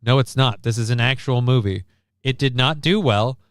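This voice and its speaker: A man's voice: mid-range voice, moderate pace, smooth, no echo, clean studio sound. A man hosting a livestream, giving casual, profane movie commentary in a blunt, sarcastic, ranting manner. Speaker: livestream host.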